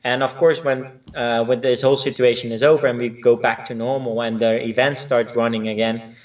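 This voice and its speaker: A man from Spain, a native Spanish and Dutch speaker, chats in English as an interview guest. He hesitates often and restarts his sentences. His voice sits at a middle pitch and sounds set back from the mic on dull lo-fi video call audio. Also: level tone, a little fast, distant mic. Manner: hesitant, many fillers and restarts. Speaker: line dance instructor, guest. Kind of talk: casual interview chat.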